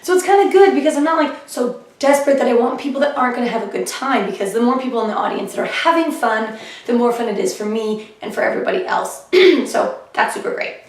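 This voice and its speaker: A woman comedian chatting casually in a vlog about her shows, her voice a little hoarse and often clearing her throat. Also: on the high side, lively intonation, fast. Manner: chatty and upbeat, with fillers. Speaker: comedian vlogging her diary. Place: living room of a flat, indoors. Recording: echoey room, heard from a distance.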